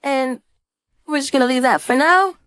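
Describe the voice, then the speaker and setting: A woman instructor giving a casual screen-share software tutorial, thinking aloud informally as she demonstrates. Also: sits high, normal speed. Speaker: design instructor.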